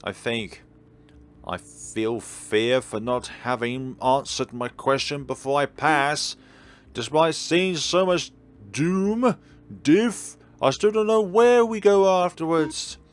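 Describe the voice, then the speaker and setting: A man reading out visual novel dialogue as a weary, ancient godlike being, wistful and gently teasing. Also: on the high side, slow, clear, resonant tone, pitched above the performer's own voice. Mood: wistful, anxious. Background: faint background game music.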